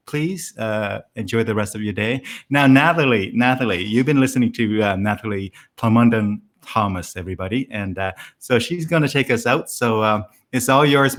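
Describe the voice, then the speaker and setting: Male host, mid-pitched voice, halting delivery full of fillers, wrapping up a live online show. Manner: warm, halting, many fillers.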